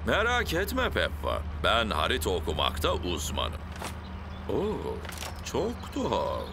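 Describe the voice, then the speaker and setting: A man voicing a boastful, knowledgeable grown-up male animal in a children's cartoon, expressive and self-assured. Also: on the low side, takes it slowly, noisy background, full character voice. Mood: puzzled, confident.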